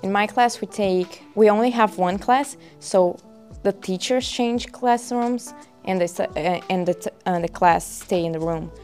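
Young woman, halting speech. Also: medium pitch, darkish tone, faint music behind. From Brazil. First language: Portuguese. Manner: halting, restarts, fillers. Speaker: guest student. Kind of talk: casual interview answers.

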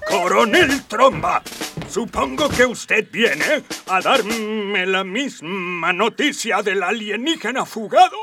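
Pompous old emperor, high and drawling. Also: male voice, lively intonation, slow, vocal fry, music in the background, full character voice. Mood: exasperated. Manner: pompous, exasperated, imperious. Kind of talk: comic radio drama acting.

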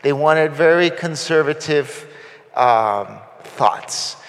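A man's voice: on the high side, expressive, takes it slowly, fairly bright tone, in a large hall. A man from Costa Rica giving a conversational sermon, with frequent fillers and restarts. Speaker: guest preacher. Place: church sanctuary.

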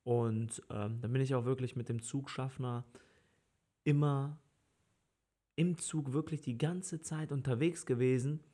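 A man's voice: mid-range voice, fairly even intonation, measured pace, mellow. A man in his thirties, a party organizer, chatting casually on a podcast with lots of fillers and easy laughter. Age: 30s. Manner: easygoing, laughs often, many fillers.

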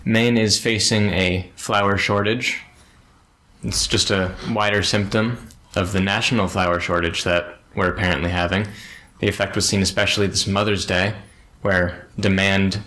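A young man hosting a show, reading local news and then interviewing a guest in a steady, flat way. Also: deep voice, normal speed.

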